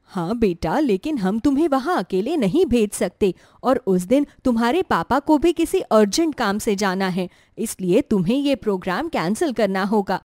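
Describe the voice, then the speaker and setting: A woman voicing a caring middle-aged mother who gently scolds and soothes in an earnest way. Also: fairly high pitch, expressive, normal speed, few pauses, no echo, clean studio sound, lightly acted. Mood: reassuring.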